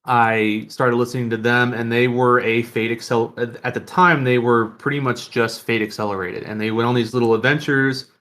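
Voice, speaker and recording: A man's voice: medium pitch, steady tone, moderate pace, few pauses, fairly bright tone. A man co-hosting a podcast in casual, rambling, jokey conversation. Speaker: podcast co-host.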